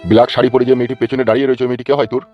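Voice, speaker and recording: A man's voice: mid-range voice, level tone, very fast, lo-fi sound, soft background music, natural voice. A man voicing a blustering, bewildered middle-aged police officer in a cartoon. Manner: blustering questioning.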